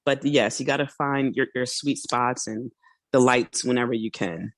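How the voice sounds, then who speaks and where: A woman hosting a casual solo podcast, chatty and a little hoarse, laughing now and then and acting out small quoted asides. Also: rumbling low, fairly even intonation, moderate pace, dry recording. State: slightly hoarse. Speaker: podcast host.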